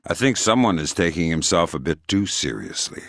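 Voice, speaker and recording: An actor playing a hardened, sardonic middle-aged covert operative in a video game, gruff and terse, with dry deadpan delivery. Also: male voice, low-pitched, moderate pace, no room sound, full character voice. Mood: businesslike, dry. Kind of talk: video game character dialogue.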